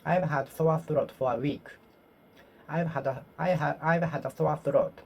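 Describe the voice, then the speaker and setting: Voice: mid-range voice, steady tone, a little slow, creaky, a little mellow, slightly rough, dull audio. An English learner whose first language is Japanese, practising speaking alone, repeating phrases and correcting themselves as they go. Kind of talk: solo speaking practice, talking to oneself.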